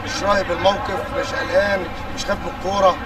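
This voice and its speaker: Brisk Egyptian coach shouting at tryouts. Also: male voice, high voice, flat delivery. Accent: Egyptian Arabic. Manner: brisk, calls out orders and questions. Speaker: football coach. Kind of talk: directing youth tryouts. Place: outdoor football pitch. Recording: distant mic, loud crowd. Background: crowd of children and parents.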